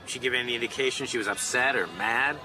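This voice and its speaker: A man plays a pushy, theorizing American cop from the fifties in a radio drama. His mid-pitched voice is blunt and pressing, over clear urban car noise. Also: quick pace, lightly acted. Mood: blunt, insistent. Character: insistent, theorizing 1950s American police detective.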